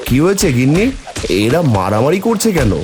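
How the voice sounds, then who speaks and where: Man as an animated village family man. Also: fairly high pitch, brisk, noisy background. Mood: alarmed. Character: good-natured, worried village husband and father. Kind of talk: cartoon fairy-tale voice acting.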